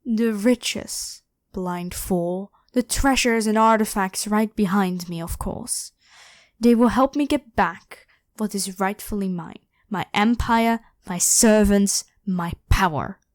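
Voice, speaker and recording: A woman's voice: mid-range voice, slow pace, no echo, lightly acted. A woman playing an evil, haughty ancient Egyptian pharaoh who makes theatrical demands in a scripted ASMR roleplay. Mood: commanding. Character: evil, imperious ancient Egyptian pharaoh queen awakened from slumber.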